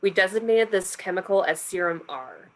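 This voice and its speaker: A woman's voice: mid-range voice, animated, moderate pace, natural voice. A woman reading the part of an earnest lab scientist dictating experiment logs in a table reading. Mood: clinical. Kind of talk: online script table reading.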